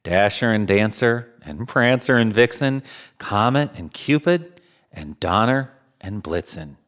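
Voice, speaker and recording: A man narrating a children's storybook theatrically, with drawn-out emphasis and chuckles. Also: fairly low pitch, lively intonation, a bit bright. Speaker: storyteller in Santa persona.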